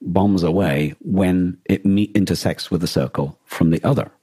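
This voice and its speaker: Man, podcast co-host, dark mellow tone, slightly husky, hesitant explanatory delivery. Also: sits low, normal speed, no echo, clean studio sound. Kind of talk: casual discussion.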